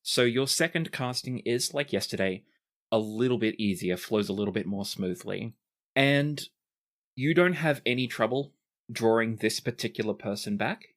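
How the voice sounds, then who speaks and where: A man narrating and voicing characters as game master of a tabletop role-playing game, relaxed and using fillers. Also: medium pitch, moderate pace.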